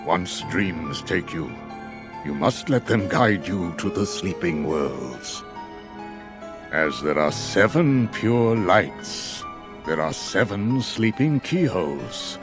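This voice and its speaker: An older man playing a stern, solemn old wizard master in a video game, speaking formally and deliberately. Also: deep voice, sing-song, music in the background, heavily acted. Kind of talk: scripted video game voice acting.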